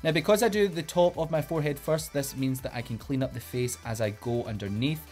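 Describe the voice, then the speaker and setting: A man's voice: mid-range voice, brisk, darkish tone, soft background music. A man with a Glaswegian Scottish accent, a drag queen hosting a makeup tutorial in an upbeat, playful way and giving step-by-step instructions. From Glasgow, Scotland.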